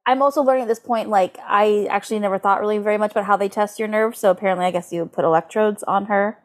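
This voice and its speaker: A woman co-hosting a podcast, chatting casually in a halting way with lots of filler words. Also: mid-range voice, steady tone. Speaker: podcast co-host. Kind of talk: casual chat, animated recap.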